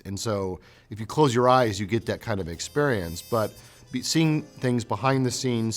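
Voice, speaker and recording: A man who teaches at college, talking casually in an interview. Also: medium pitch.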